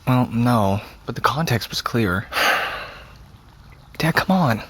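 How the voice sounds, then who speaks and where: An actor playing a stubborn, anxious teenage son in an audio drama, speaking in a terse, dry, questioning way. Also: male voice, mid-range voice, animated, very slow, fairly bright tone, slight background noise. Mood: earnest, probing. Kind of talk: naturalistic audio drama acting.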